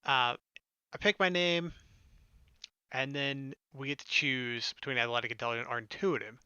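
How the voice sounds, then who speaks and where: A man's voice: medium pitch, steady tone, moderate pace, pushed and bright, clear, resonant tone, no echo, dull audio. A man hosting a casual let's-play, reading game text aloud and adding joking, sarcastic asides. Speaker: gaming video host. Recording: game music behind the voice.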